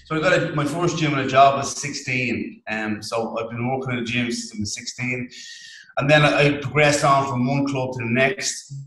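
A man's voice: medium pitch, moderate pace, a bit bright, roomy sound, poor audio. A man with an Irish accent, a fitness and nutrition coach, explaining his work plainly as a podcast guest from his clinic. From Ireland. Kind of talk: casual interview talk.

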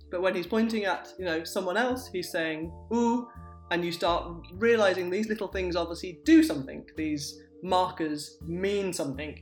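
A patient linguist walks through ideas using example after example, leaning on "um" and "so". The voice sits in a roomy, echoing space, with faint music underneath. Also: medium pitch. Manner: patient, uses examples, frequent "um" and "so". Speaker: linguist guest. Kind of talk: explanatory interview talk. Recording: roomy echo.

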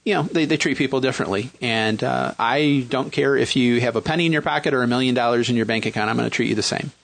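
A man in his fifties with a Midwestern accent talks about himself in a relaxed, halting way, often pausing on "uh" and "you know". His voice sits at an ordinary middle pitch. The sound is dry and close. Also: vocal fry, no echo. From Michigan, raised in Western New York. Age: 50s. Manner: hesitant, many fillers and restarts. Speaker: guest, single dad looking for a partner. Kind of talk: casual chat.

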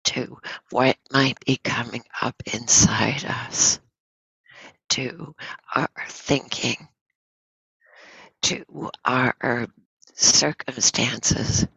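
A woman leads a guided meditation at an extremely slow, unhurried pace. Her voice is husky and creaky with a cold, and she speaks gently, leaving pauses between short phrases. The recording is close and slightly dull. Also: rumbling low, steady tone, no echo. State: has a cold. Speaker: meditation teacher.

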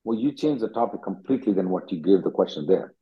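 A man with a lowish voice runs a call-in on immigration questions, keeping answers short and cutting callers off to move on. His voice comes through a dull, lo-fi conference line. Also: animated, fast talker. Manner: curt, businesslike, hurries callers along. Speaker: immigration attorney hosting a call-in.

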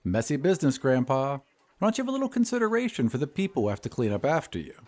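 Man acting a flippant, needling orderly, lively pitch. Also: quick pace, natural voice. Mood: mock scolding. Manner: teasing, breezy.

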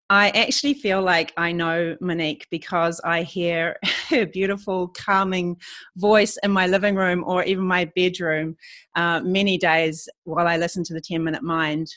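A woman's voice: on the low side, no room sound. A woman hosting a podcast, introducing her guest with lots of fillers and gushing praise. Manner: warm, gushing, many fillers. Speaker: podcast host.

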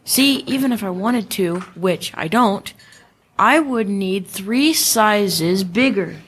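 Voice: medium pitch, lively intonation, takes it slowly, a bit bright, breathy edge, full character voice. A young amateur performer playing a sulky, defiant schoolboy bully in a school radio play.

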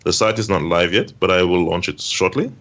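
A man's voice: low-pitched, a little fast, a bit bright, highs cut off. A man answering interview questions as a podcast guest, hesitant, with many ums and restarts.

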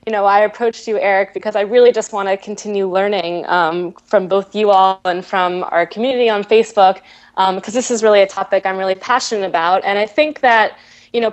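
A woman's voice: medium pitch, lo-fi sound. A young woman, a podcast co-host, speaking in an eager, earnest way. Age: young adult. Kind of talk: casual podcast chat.

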